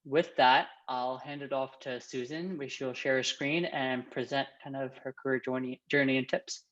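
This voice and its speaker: A man's voice: medium pitch, steady tone, moderate pace, a bit bright, highs cut off. A young man hosting a video call, introducing his guest with enthusiasm and plenty of fillers. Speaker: host.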